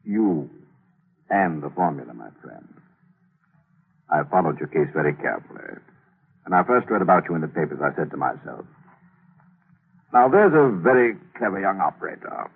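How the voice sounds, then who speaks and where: A man's voice: deep voice, very animated, normal speed, creaky, pauses often, husky, heavily acted. An actor in an old-time radio thriller playing a sly, gloating gunman who taunts with folksy, mocking friendliness. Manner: folksy, taunting, leisurely menace. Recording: tinny narrow-band old radio recording.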